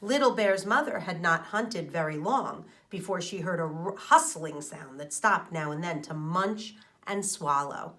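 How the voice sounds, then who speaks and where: A woman teacher reading a children's storybook aloud, expressive, explaining things to young listeners and asking them questions. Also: lowish voice, unhurried, few pauses.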